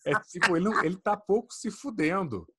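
A man, chatting casually as a podcast co-host, animated and swearing freely. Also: sits high, fairly quick, husky. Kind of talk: casual chat.

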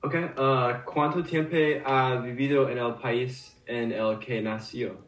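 A man's voice: medium pitch, fairly even intonation, slow, fairly bright tone. A man co-hosting a podcast, asking interview questions hesitantly with many fillers. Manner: hesitant, learner-like Spanish with fillers. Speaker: podcast co-host. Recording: echoey, distant mic.

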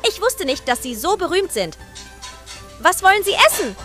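A woman as a very high-pitched, eager waitress. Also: sing-song, moderate pace, pushed and bright, soft background music, exaggerated voice. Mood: eager, excited. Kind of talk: comic audio drama acting.

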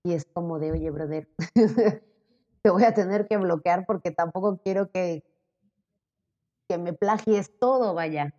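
A Mexican woman in her late twenties chatting as an interview guest, animated and quick to laugh. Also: lowish voice. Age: late 20s. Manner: animated, laughing, quoting herself in lively bursts. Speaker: interview guest, content creator. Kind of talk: casual conversation. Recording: dull audio with long reverb.

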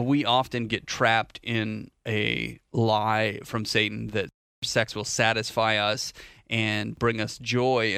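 A man's voice: mid-range voice, creaky, a bit bright, smooth, no room sound, clean studio sound. Upbeat male pastor hosting a podcast. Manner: upbeat, chatty, laughs easily. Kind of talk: casual faith discussion podcast.

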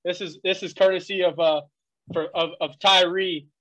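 A man's voice: high voice, fairly even intonation, normal speed, pushed and bright, highs cut off. A man co-hosting a casual podcast, enthusiastic and stammering through repeated words. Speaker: podcast co-host.

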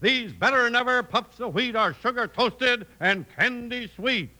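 Casting: announcer as showy male ringmaster, raised forceful voice, pompous proclamation for a radio commercial. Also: extremely high pitch, lively intonation, a little slow, pitched above the performer's own voice, heavily acted. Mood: grand, proclaiming. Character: grandiose, booming male circus ringmaster. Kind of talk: circus barker announcing in a radio ad.

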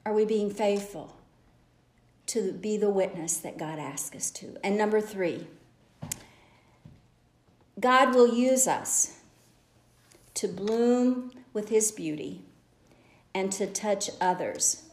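A woman's voice: medium pitch, takes it slowly, pauses often. A woman delivering a church message to a women's gathering, earnest and exhorting, building her points with repeated phrases. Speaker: lay preacher. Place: church sanctuary. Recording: echoey church room.

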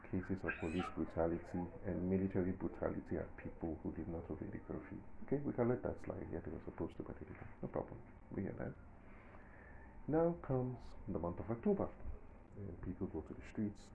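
A man's voice: low voice, gravelly, narrow, tinny audio, slight background noise. A man in his forties with a Nigerian accent, giving his own emphatic, indignant opinion in a monologue. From southern Nigeria. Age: 40s. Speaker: commentator.

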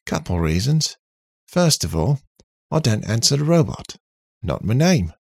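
A man voicing a cheeky, cocky, talkative robot in an animated, boastful, slangy way for an audiobook. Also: medium pitch, unhurried, gravelly, dry recording, clean studio sound, voice pushed up, heavily acted. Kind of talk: audiobook character voice.

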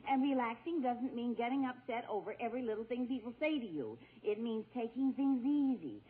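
A woman's voice: on the high side, expressive, brisk, natural voice. A woman playing a bossy, fussing middle-aged wife and mother in 1940s radio comedy, insistent and scolding. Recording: tinny 1940s radio broadcast.

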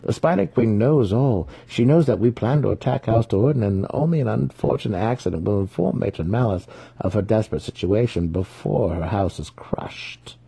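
A man voicing a scheming, commanding female dark elf house ruler in a fantasy story. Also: lowish voice, animated, normal speed, gravelly, slightly rough, full character voice. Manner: commanding, snarling.

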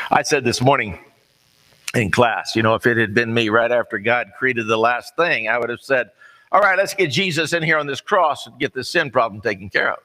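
An American man preaching a sermon in a folksy, conversational storytelling way, plain-spoken and pausing deliberately. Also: medium pitch, bright, forceful tone. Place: large reverberant room, preaching to a congregation. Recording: long hall reverb.

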